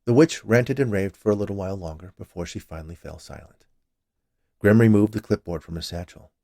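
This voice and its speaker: A man's voice: fairly low pitch, brisk, gravelly, dark tone, dry recording, clean studio sound. A man reading a dramatic storybook tale as its narrator. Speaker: author reading own story.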